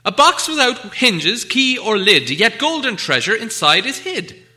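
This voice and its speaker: A man's voice: high voice, sing-song, measured pace, pushed and bright, voice pushed up, full character voice. A man voicing a small, polite but impatient storybook adventurer who speaks in an earnest, emphatic way. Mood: challenging. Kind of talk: storybook character voice.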